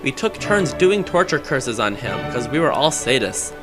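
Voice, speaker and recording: A man's voice: mid-range voice, very animated, normal speed. A man giving a theatrical, mock-serious comedic reading of fan fiction on an amateur game microphone. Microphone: Rock Band game microphone. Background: music.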